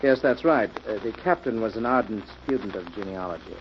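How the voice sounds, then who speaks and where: An actor playing a courteous family lawyer, speaking in a formal, measured way in 1940s radio drama style. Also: male voice, fairly low pitch, natural voice. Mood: deferential. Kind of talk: 1940s radio mystery acting. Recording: dull, noisy old radio recording.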